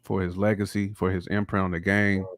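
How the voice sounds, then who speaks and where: A man's voice: low voice, flat delivery, brisk, no room sound, studio quality. A man chatting casually as a podcast co-host, slangy and emphatic, repeating phrases to drive them home. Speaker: hip-hop podcast co-host. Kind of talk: casual chat.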